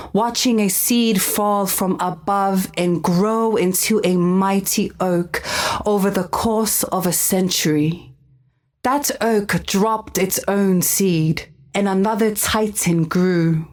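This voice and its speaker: A calm female storyteller in a fantasy game , played by an actress, telling a parable. Her voice sits at a medium pitch and takes its time, slow and contemplative throughout. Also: lightly acted. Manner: calm, unhurried. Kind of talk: scripted parable narration.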